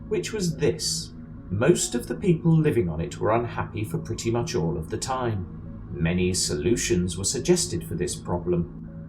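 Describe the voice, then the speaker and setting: A man playing the wry, measured narrator of an amateur science fiction audio drama. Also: on the low side, poor audio. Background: music.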